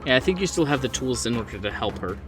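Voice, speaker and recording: A man's voice: mid-range voice, expressive, fast talker, recorded from a distance. Man game master over prominent music. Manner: descriptive, conversational narration. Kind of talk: narrating a horror tabletop roleplaying game. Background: background music.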